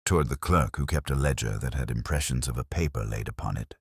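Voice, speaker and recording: A man voicing a shrewd, measured police inspector with a deliberate, dryly ironic manner in a detective story. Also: rumbling low, husky, dry recording, pitched below the performer's own voice. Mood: musing, deductive. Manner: deliberate, dry, aphoristic.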